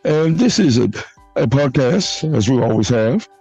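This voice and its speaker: A man hosting a church podcast, hoarse from a flu, making announcements and leading a prayer with halting restarts. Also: mid-range voice, expressive, warm tone, highs cut off, faint music behind. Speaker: podcast host. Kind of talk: casual church podcast chat.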